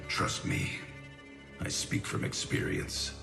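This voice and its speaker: An actor playing a stern, honorable ninja grandmaster in a video game, with a deep gravelly voice and a grave, resolute manner. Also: male voice, slow pace, close to an everyday voice. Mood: solemn, reassuring. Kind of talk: video game cinematic acting. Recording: echoey, under loud cinematic music.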